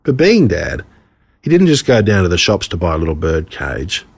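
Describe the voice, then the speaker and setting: An Australian man reading his own humorous stories as scripted narration, in an expressive, wry way. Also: low-pitched, normal speed, vocal fry, slightly rough.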